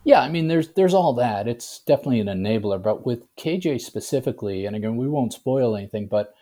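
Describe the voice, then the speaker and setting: A man's voice: medium pitch, animated, fast, mellow. An older man being interviewed as an author, speaking conversationally and often checking in with the listener. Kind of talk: casual interview chat.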